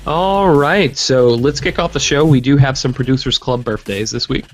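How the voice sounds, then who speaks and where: A man hosting a podcast in casual chat, his voice hoarse, upbeat and joking. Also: medium pitch, normal speed, faint music behind. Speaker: podcast host.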